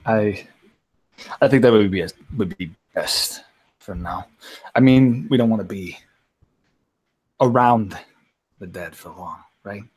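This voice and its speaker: A man's voice: lowish voice, takes it slowly, long pauses, darkish tone, slightly rough, rough recording. A man playing a nervous, hesitant dinner guest who repeats himself in a mystery roleplay.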